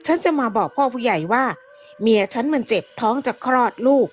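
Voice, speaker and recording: A woman doing a rough, cheeky villager. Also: a little high, a little fast, natural voice. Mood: teasing fawning. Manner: rough, teasing, fawning. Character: rough, cheeky male villager, drinking buddy.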